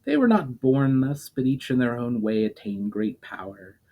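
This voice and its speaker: A man's voice: mid-range voice, sing-song, normal speed, highs cut off, pitched above the performer's own voice. A man reading a story aloud, voicing an ancient, scornful immortal demon who lectures coldly and derisively. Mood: cold lecturing.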